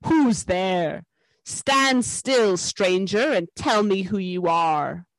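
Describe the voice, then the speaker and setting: A woman's voice: medium pitch, expressive, drawn-out words, no room sound. Woman voicing a growling, fierce wolf guard. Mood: threatening.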